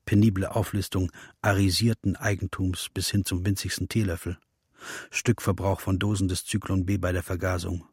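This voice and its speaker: A man playing a sober, sardonic chronicler, narrating in a measured, precise documentary style for a radio drama. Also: deep voice, flat delivery, a little mellow, slightly rough, no echo, studio quality. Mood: dryly ironic.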